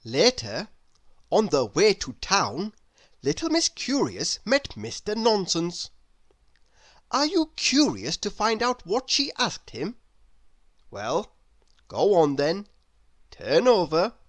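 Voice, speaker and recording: A woman narrates a children's storybook at a slow pace with highly animated pitch. She speaks expressively and playfully to the child listening. The sound is close and dry. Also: no room sound.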